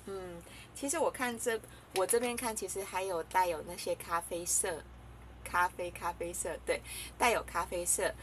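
A woman's voice: on the low side, normal speed, a bit bright, slight background noise. A young woman casually chatting to the camera while reviewing coffee, talking in a hesitant way with many fillers and giggles. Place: small room indoors.

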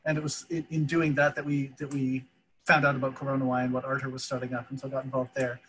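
A man from Canada introducing his work on a video call, halting and repeating words as he thinks. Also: medium pitch, level tone, a bit bright, rough recording. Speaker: VR developer, founder.

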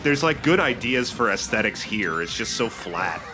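A man's voice: fairly low pitch, sing-song, moderate pace. A man commentating casually on a let's play, chatting with friends. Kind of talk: casual chat over gameplay. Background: game music.

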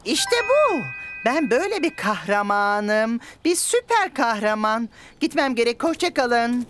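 A woman's voice: a little high, very animated, unhurried, fairly bright tone. A woman voicing a naive, scatty, eager young crow in a preschool cartoon dub. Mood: excited. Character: clumsy, eager young crow longing to be a hero. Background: music.

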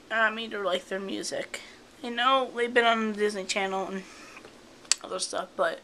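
A woman's voice: fairly high pitch, a little hiss or hum, poor audio. A young woman with a cold, chatting casually into a handheld camera in her bedroom. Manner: soft, slow and chatty, cooing at her cats. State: has a cold. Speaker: vlogger. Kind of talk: casual home video chat. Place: bedroom at home. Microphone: handheld camera close up.

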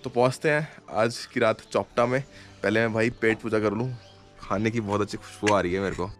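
A man's voice: lowish voice, fairly even intonation, a little fast. Casual male travel vlogger with music behind. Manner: friendly, addresses viewers and locals as brother. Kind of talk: casual vlog chat to camera. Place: outdoors on mountain roads and villages.

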